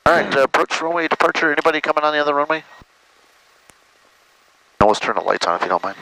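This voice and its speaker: A man's voice: on the high side, very animated, quick pace, pushed and bright, poor audio. An American man giving casual, matey flight instruction from the cockpit of a small plane over a headset. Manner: informal, matey. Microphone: aviation headset. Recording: cockpit intercom with faint engine noise.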